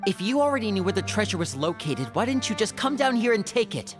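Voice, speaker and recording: A woman's voice: medium pitch, sing-song, fast talker, close to an everyday voice. A woman voicing a polite, sincere young adventurer in a video game, earnest and questioning, with music behind her.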